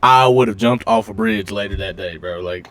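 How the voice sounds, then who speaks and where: A young man co-hosting a casual podcast in slangy, animated banter. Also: fairly low pitch, moderate pace, a little hiss or hum. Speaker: podcast co-host. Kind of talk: casual wrestling podcast chat.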